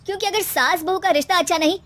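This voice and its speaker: A woman's voice: extremely high pitch, fast talker, fairly bright tone, voice pushed up. Woman acting a complaining, fed-up young husband.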